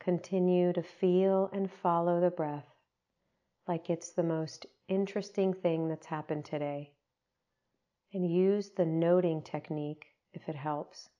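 A woman leading a guided meditation, giving gentle, unhurried instructions with long pauses. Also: lowish voice, steady tone, highs cut off.

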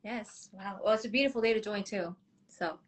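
A woman's voice: mid-range voice, normal speed, slightly rough. A young woman from South Korea talks as a podcast guest, candid and bubbly, with frequent laughter. She tells her story in a loose, conversational way, full of small asides. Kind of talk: casual interview answers.

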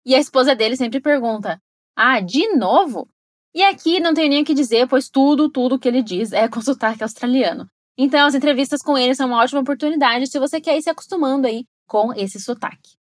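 A woman's voice: sits high, animated, fast, dry recording, studio quality. A woman with a Brazilian Portuguese accent, hosting an educational podcast and explaining things with enthusiasm and asides to the listener. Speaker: podcast host and English teacher. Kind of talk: scripted educational podcast narration.

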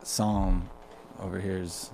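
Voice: sits low, flat delivery, extremely slow, vocal fry, warm tone. An interviewer chatting casually, rambling, joking, and correcting himself as he goes.

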